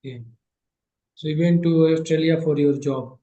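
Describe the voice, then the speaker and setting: A man teaching Sanskrit grammar in an online class, patient and repeating phrases slowly, his English not his first language. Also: a little high, fairly even intonation, warm tone, echoey room, lo-fi sound.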